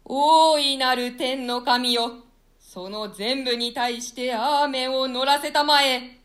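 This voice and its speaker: A woman's voice: high-pitched, slow, a bit bright. A woman playing a pious, victorious noble leader in a classical play, declaiming in a solemn, formal way. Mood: solemn, commanding. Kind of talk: classical stage-play declamation in archaic Japanese.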